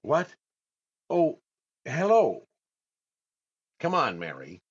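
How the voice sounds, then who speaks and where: A man's voice: fairly high pitch, huge pitch swings, very slow, slightly rough, heavily acted. An actor playing a fussy, hesitant, easily exasperated male comedian in a 1940s-style radio comedy. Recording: dull online radio-play recording.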